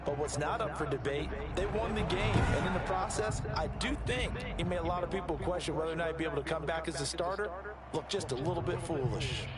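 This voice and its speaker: A man's voice: on the high side, quick pace, lightly acted. A man playing an analytical color commentator in a football broadcast. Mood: opinionated.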